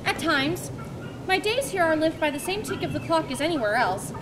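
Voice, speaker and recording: A woman's voice: extremely high pitch, very animated, moderate pace. A woman playing a lofty male hermit philosopher in a homeschool dramatic reading, solemn and dismissive. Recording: loud background noise.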